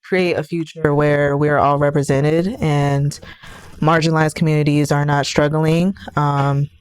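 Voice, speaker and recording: A woman's voice: low-pitched, little pitch movement, a little slow, a little mellow, clear, resonant tone. A young woman from Pittsburgh, a college student guest, speaking earnestly and steadily. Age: young adult. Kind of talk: casual interview.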